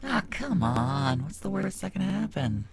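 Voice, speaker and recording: A man voicing a callous, flippant demonic creature for a recorded character line, with layered pitch-shifted copies and a glitchy stutter. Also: big pitch swings, measured pace, vocal fry, heavily acted. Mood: flippant menace.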